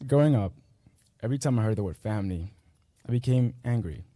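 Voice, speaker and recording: A young man in his late teens, a native Spanish speaker from Guatemala, reading his personal essay aloud in earnest, measured short phrases at a school graduation ceremony. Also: on the low side, animated, warm tone, slight background noise.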